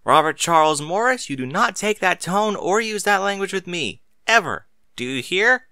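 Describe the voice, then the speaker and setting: A male narrator plays an exasperated mother in an audiobook story. The voice is lifted in pitch and swings in an animated way. She is stern and emphatic with her children, in a motherly manner. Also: moderate pace, clean tone, no echo, clean studio sound, exaggerated voice. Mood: angry, stern. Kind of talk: audiobook character voice.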